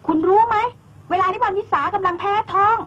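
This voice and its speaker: A woman's voice: very high voice, fairly even intonation, fast talker, a bit bright, highs cut off, a little hiss or hum. A woman playing a blunt young woman friend who scolds and insists sharply. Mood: indignant.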